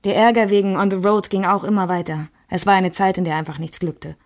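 A woman played by an actress looks back on her troubled marriage in a radio play. Her voice sits at a middle pitch, and her delivery is reflective, literary narration. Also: fairly even intonation, fairly quick, a little mellow, close to an everyday voice. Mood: reflective, resigned.

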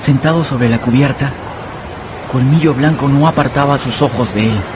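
A man narrating a dramatised radio story in a measured, dramatic style. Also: medium pitch, background noise.

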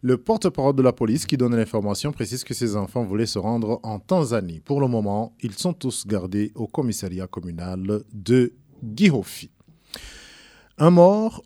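A man reading the midday radio news as anchor, in a measured, formal delivery. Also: mid-range voice, dark tone, dry recording, studio quality. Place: radio studio.

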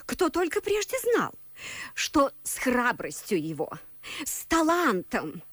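Gushing young wife, high swooping voice, slow affected cooing, radio drama. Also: female voice, wildly swooping pitch, a bit bright, no room sound, exaggerated voice. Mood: gushing pride. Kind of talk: classical verse radio drama acting.

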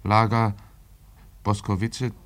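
A calm mediator in a German radio play. His voice is fairly low and his manner measured, placating others and probing for facts with reason. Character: calm, reasonable male mediator urging truth.

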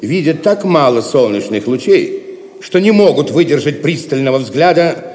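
An actor playing a proud, hospitable middle-aged Saxon lord in a Russian audio drama, stately and deliberate. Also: male voice, a little high, animated, darkish tone, lightly acted. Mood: stern courtesy.

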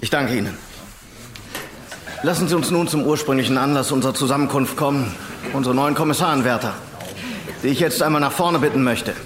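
An actor playing a dry, authoritative senior police official making a measured, formal speech in a radio drama. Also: male voice, mid-range voice, noisy background, close to an everyday voice. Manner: formal, measured, wryly ironic. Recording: echoey hall with murmuring crowd.

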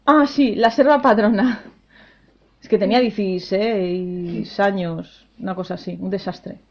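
A woman in her forties, an opera singer, talks easily and brightly while answering questions, laughing often. Her voice sits in a middle register. The sound is dull and lo-fi, like an old radio broadcast. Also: mid-range voice, fairly even intonation, unhurried. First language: Spanish. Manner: cheerful, chatty, laughs often. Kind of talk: casual interview answers.

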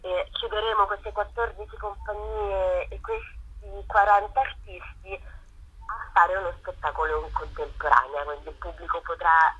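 A woman's voice: medium pitch, steady tone, a little slow, pushed and bright, slightly rough. A woman speaking enthusiastically as a theatre company guest in a radio interview over the telephone. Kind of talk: radio interview, promoting a festival. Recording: noisy telephone line on radio.

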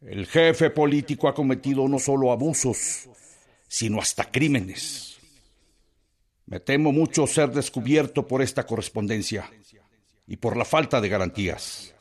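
Casting: grave Mexican correspondent, mid-pitched, formal and measured, voicing a written denunciation for radio drama. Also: male voice, lively intonation, darkish tone. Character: male newspaper correspondent gravely dictating a letter of denunciation. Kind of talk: radio drama letter reading.

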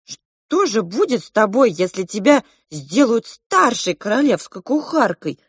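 A bold, curious young girl voiced by a woman speaks loudly and eagerly. She takes her time over the words, and the sound is dry and close. It fits a storybook heroine. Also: fairly high pitch, very animated, a little slow, husky, no echo, pitched above the performer's own voice, exaggerated voice.

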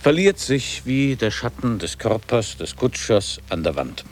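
An actor playing a reflective older former boarding-school pupil in a literary radio drama, reciting in a measured, lyrical and formal way. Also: male voice, mid-range voice, sing-song, slight background noise. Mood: pensive, poetic.

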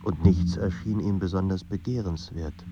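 A man performing as a grave, brooding narrator of literary radio drama, speaking in a measured way with dramatic pauses over a slightly muffled, noisy recording. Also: low voice, steady tone, warm tone, lightly acted. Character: literary narrator of a troubled young writer's life, grave and brooding.

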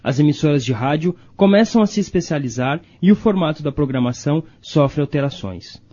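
A man's voice: fairly high pitch, animated, a little fast, dark tone. A Brazilian man reading scripted narration for a radio documentary. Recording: dull lo-fi audio.

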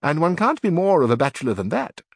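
Man reading as a confident young scholar, wry and teasing, lively pitch. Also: quick pace, creaky, no echo, clean studio sound, exaggerated voice. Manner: wry, reassuring and slightly mocking.

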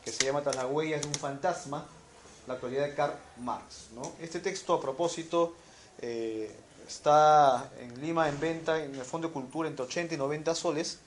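A man's voice: fairly high pitch, normal speed, slight background noise. A man moderating a university talk, introducing the speaker formally and often ending phrases with a tag question. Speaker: event moderator. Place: university lecture room.

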